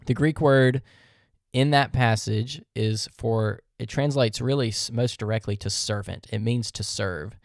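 A man's voice: medium pitch, measured pace, creaky, no room sound. Male podcast host, mid-range voice, methodical Bible-teaching monologue, dry close recording. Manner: earnest, methodical, reads verses aloud; rhetorical questions.

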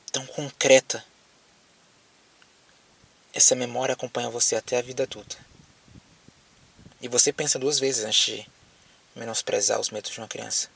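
A man acting in an audio drama as a lonely, frightened apocalypse survivor with a Brazilian accent, speaking haltingly as if on a phone call. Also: mid-range voice, creaky, a bit bright, slightly rough, distant mic. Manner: hesitant, halting, repeats words.

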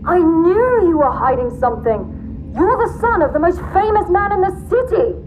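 An actress playing a hardened resistance fighter in a sci-fi audio drama, blunt and matter-of-fact. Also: female voice, very high voice, animated, moderate pace. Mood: accusing. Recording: dull, reverberant, faintly noisy.

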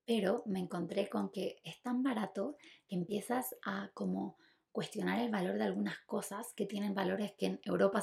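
A woman's voice: medium pitch, creaky, dark tone. A woman with a Chilean accent hosting a podcast alone, talking casually and reflectively, confiding and giving advice straight to the listener. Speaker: podcast host.

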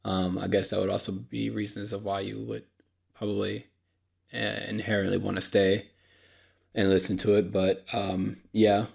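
Low gravelly young man, halting and tired. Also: level tone, darkish tone. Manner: halting, apologetic, many fillers and restarts. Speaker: podcast host. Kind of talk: unscripted solo podcast monologue. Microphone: podcast microphone.

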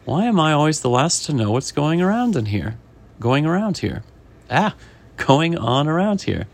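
A man's voice: on the high side, very animated, moderate pace, vocal fry, voice pushed up, exaggerated voice. A man voicing a defiant, wisecracking little storybook fish with big ambitions. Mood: puzzled, complaining.

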